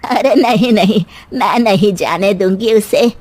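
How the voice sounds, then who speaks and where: A woman voicing an older, credulous mother-in-law in a family tale, motherly and fretful, with drawn-out phrases. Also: mid-range voice, noisy background, lightly acted. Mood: concerned.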